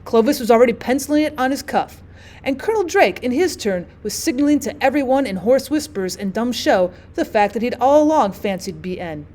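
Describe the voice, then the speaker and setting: A woman's voice: a little high, lively intonation, fairly quick, a little mellow. A woman reading a classic short story aloud as scripted audiobook narration, like a teacher for her students.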